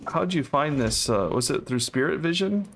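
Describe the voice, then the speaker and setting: A man's voice: a little high, animated, normal speed, vocal fry, fairly bright tone. A man giving casual gameplay commentary, thinking aloud in short phrases. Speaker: gamer, co-op let's player.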